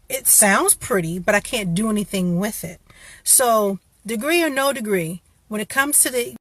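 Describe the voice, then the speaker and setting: A woman's voice: a little high, a bit bright, dry recording. A woman hosting a casual motivational talk, giving encouraging career advice in a conversational way with frequent restarts. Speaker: career coach host.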